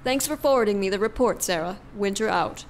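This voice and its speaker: A woman's voice: mid-range voice, fairly quick, noisy background, lightly acted. An actress playing a tough, curt veteran policewoman in an audio drama, terse and commanding. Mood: curt, businesslike.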